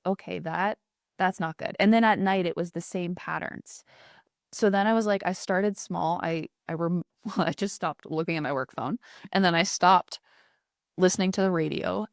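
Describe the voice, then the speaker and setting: Woman co-host, lower voice, rambling casual talk, dry sound. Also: no echo. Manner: chatty, rambling, frequent "like" fillers. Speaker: podcast co-host. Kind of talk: casual podcast conversation.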